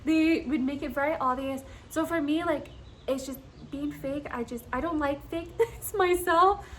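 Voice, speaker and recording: A young woman with a high voice talks straight to her viewers in a bubbly, gushing way, giggling as she goes. A faint hiss sits under her voice. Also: normal speed. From Scotland. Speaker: vlogger. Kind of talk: casual chat to camera.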